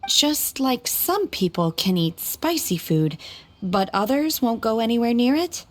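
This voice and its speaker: A woman playing a solemn, reserved young exorcist in a video game, breathy, measured and literal. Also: on the high side, very animated. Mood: plain, earnest.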